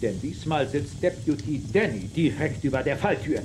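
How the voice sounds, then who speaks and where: An actor as an excitable, inquisitive male general in a western radio play. His voice is high and swings up and down, with clear background noise. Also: normal speed, lightly acted. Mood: curious. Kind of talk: German children's audio drama acting.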